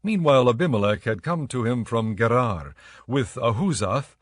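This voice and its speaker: Dry, measured male scripture narrator. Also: mid-range voice, expressive, dry recording.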